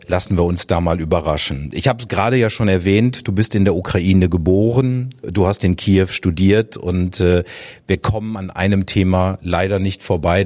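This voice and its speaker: Deep-voiced male interviewer. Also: a little mellow. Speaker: interviewer, host. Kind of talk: conversational interviewing with long lead-ins.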